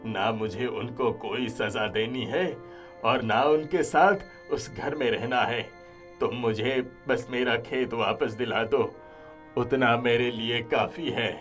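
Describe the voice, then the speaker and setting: A man acts out an old village father for a cartoon moral tale. He is kindly, firm and earnest, speaking slowly at a middle pitch with music behind him. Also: fairly bright tone. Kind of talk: Hindi moral-story cartoon acting.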